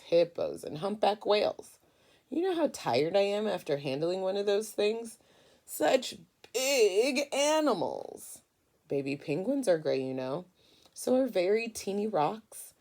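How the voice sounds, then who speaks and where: An exhausted storybook crayon , acted by a woman, pleading for relief. The voice is lowish and gravelly, with weary exasperation. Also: darkish tone, heavily acted. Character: exhausted, exasperated gray crayon begging for a break.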